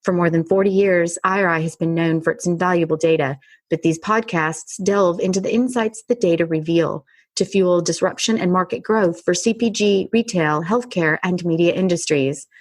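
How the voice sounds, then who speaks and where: A woman's voice: on the low side, moderate pace, no echo. A woman hosting a podcast interview in a conversational, presenting style. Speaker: podcast host.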